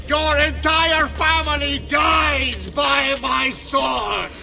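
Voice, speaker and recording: Shouting, vicious Italian villain. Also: male voice, very high voice, fairly even intonation, drawn-out words, creaky, over music, exaggerated voice. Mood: murderous rage. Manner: savage, bellowing. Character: vicious, vengeful Florentine killer, a raging villain. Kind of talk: dramatic video game voice acting.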